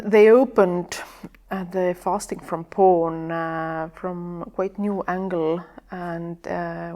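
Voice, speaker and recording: An Estonian-accented woman speaking slowly and thoughtfully, her voice low and gravelly with fry. She pauses often with fillers, reaching for the right English words as she explains. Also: darkish tone. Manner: halting, many fillers, searching for words. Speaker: sexual health educator, guest. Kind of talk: podcast interview.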